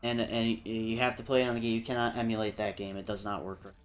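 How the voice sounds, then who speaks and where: A man's voice: on the low side, flat delivery, fast talker, slight background noise. A man chatting casually as a panelist on a livestream round table, swearing freely. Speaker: panelist in a video game discussion.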